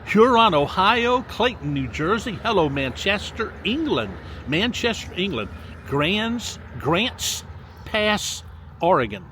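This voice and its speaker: Older male vlogger, lively sing-song delivery, casual shout-outs outdoors with faint background noise. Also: high voice. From Mississippi. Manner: drawn-out, sing-song reading of place names with folksy asides. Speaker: vlogger reading viewer locations. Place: outdoors by a lakefront.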